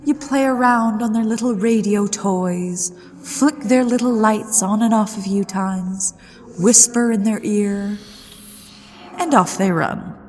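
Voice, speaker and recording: A woman's voice: mid-range voice, a little mellow, close to an everyday voice. A woman playing a haughty, playfully menacing ghost lady of a haunted manor in a theatrical, teasing ASMR roleplay. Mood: coy, intrigued. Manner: theatrical, teasing, imperious, with drawn-out phrasing. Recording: long hall reverb with music behind.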